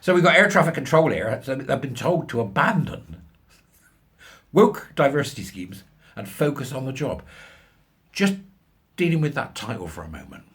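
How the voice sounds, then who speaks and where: Husky, animated British man ranting. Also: mid-range voice, moderate pace, long pauses. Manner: sarcastic, incredulous, colloquial. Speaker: commentary show host. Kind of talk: ranting commentary on a news article.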